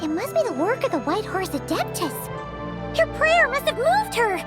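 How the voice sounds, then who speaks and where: A tiny fairy sidekick from an anime video game with an extremely high, squeaky, childlike voice. She is excitable and exclaims with lively swoops, while clear music plays behind her. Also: normal speed. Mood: bubbly, excited. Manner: speaks of herself in third person, exclaiming. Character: small squeaky excitable childlike floating fairy companion, female.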